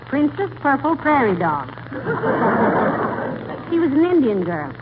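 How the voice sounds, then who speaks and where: Feisty bossy wife in old radio comedy, teasing banter, thin noisy vintage sound. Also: female voice, high voice, big pitch swings, unhurried, narrow, tinny audio. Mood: proud, enthusiastic. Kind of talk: 1940s radio comedy acting.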